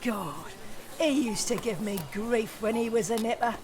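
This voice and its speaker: A woman's voice: on the high side, a little slow, music in the background, close to an everyday voice. An actress playing Beryl, a chatty middle-aged shop cashier in her fifties with an Essex accent, nosy but friendly. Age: 50s. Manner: gossipy, chatty. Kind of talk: audio drama acting.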